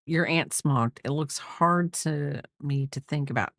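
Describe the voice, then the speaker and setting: A woman's voice: sits low, measured pace, fairly bright tone, dry recording, clean studio sound. A middle-aged woman chatting casually as a crochet host, in a rambling way, trailing off and repeating herself. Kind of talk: casual chat while crocheting, counting stitches aloud.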